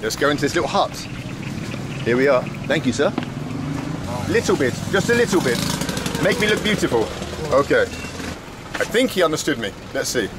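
Travel vlogger, man, forties, casual and jokey to camera, slightly high voice, street traffic behind. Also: sing-song, normal speed, long pauses. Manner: chatty, jokey, talks to camera and to locals in simple English. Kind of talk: casual travel vlog narration. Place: open-sided roadside barber hut, then a sunny street outdoors. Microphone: handheld action camera at arm's length. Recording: outdoor street noise behind.

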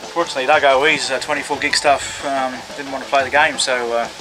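A man's voice: mid-range voice, level tone, quick pace, over music, rough recording. An Australian man in his forties giving an informal outdoor demonstration as an amateur radio operator. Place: open grassy hillside outdoors. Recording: outdoor recording with clear background noise.

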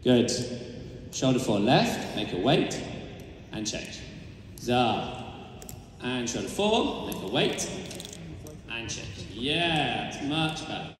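A man's voice: medium pitch, very animated, slow pace, pauses often, heavy reverb, in a large hall, a little hiss or hum. A man coaching a rider in a large indoor arena, giving directive, encouraging calls, recorded from a far camera. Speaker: riding coach. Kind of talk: live riding instruction in an arena.

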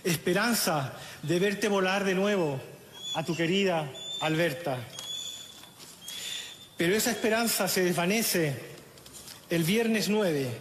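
A man's voice: sits high, fairly bright tone, slight background noise. Middle-aged Chilean man, solemn eulogist at a lectern, raised voice, faint crowd ambience behind. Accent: Chilean Spanish. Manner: solemn, measured, with halting restarts. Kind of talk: funeral eulogy, read aloud. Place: outdoor funeral gathering. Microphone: lectern microphone. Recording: echoey, reverberant outdoor sound with faint ambience.

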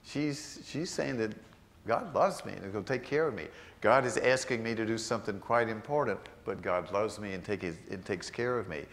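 An older man, a priest, delivering a sermon in a large church, slowing down to stress key phrases. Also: fairly low pitch. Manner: unhurried, earnest preaching with slowed emphatic phrases.

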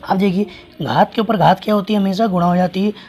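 A man teaching a maths lesson with a hoarse voice that is giving out. He works through each step patiently and keeps asking the class what comes next. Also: sits high, steady tone, quick pace, a little mellow, faint music behind. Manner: patient, explains with repeated rhetorical questions. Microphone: hands writing on paper, camera over the page.

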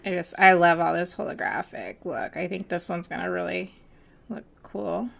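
A woman chatting casually while mixing glitter, her voice going hoarse as she loses it, with many pauses and fillers. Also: fairly low pitch, expressive. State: losing her voice, hiccups. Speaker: nail art seller mixing glitter. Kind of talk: casual crafting voice-over.

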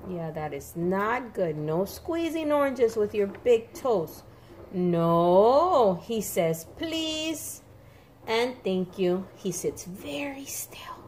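Lady doing storytime for little kids, slow n really up and down, bit of background noise. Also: mid-range voice. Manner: animated, emphatic, sing-song with repeated words for small children. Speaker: read-aloud storyteller for young children. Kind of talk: expressive picture-book read-aloud.

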